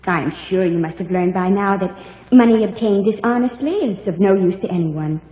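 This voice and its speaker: A woman's voice: mid-range voice, normal speed, close to an everyday voice. Actress as a prim, echoing angelic young woman. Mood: gently admonishing. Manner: polite, precise, gently proper. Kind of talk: 1940s radio drama acting. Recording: tinny, echoing vintage radio recording.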